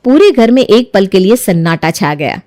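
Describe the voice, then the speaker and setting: A woman's voice: medium pitch, big pitch swings, normal speed, darkish tone, dry recording, clean studio sound. A woman narrating a scripted moral story as its storyteller.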